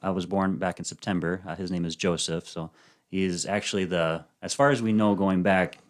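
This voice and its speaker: A man in his thirties, a podcast guest chatting casually and softly, with frequent ums and uhs. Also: sits low, fairly even intonation, moderate pace. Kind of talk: casual interview chat.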